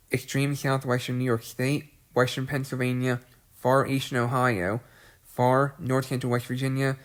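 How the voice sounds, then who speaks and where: Brief: male weather host with a cold, stuffy and congested, mid-pitched, monotone, frequent throat clearing. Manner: clears throat often, restarts phrases; reads long lists of places in a level voice.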